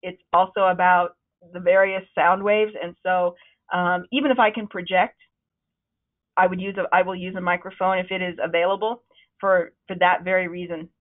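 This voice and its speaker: A woman's voice: fairly low pitch, steady tone, normal speed. Woman on tinny webinar audio. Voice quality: loud, projecting voice. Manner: conversational, encouraging, with frequent ums. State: voice starting to wear out. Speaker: presentation trainer. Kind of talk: webinar talk. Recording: narrow, phone-like webinar audio.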